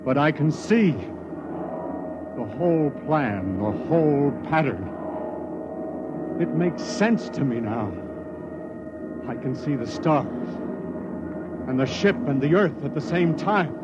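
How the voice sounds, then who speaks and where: An actor playing a blinded starship crewman, pained yet visionary, in slow, deliberate 1950s radio drama style. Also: fairly high pitch, animated. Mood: pained wonder. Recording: noisy, muffled vintage radio recording.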